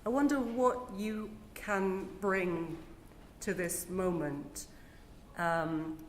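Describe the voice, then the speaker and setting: A woman interviewer asking a long question slowly and tentatively. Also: on the low side. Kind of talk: conversational discussion. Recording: echoey room with faint noise.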